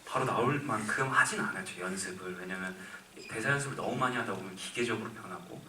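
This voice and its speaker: A man's voice: on the low side, quick pace, noisy background. A man in his twenties, an actor answering a question at a film Q&A, speaking earnestly and thinking aloud with frequent restarts. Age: 20s. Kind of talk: live Q&A answer. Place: cinema auditorium. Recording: echoey cinema from far off.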